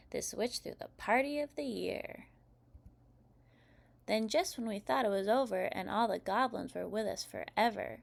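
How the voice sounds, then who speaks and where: A woman reading expressively from a script for young children in a clear, teacherly manner. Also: fairly high pitch. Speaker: host reading children's picture books aloud.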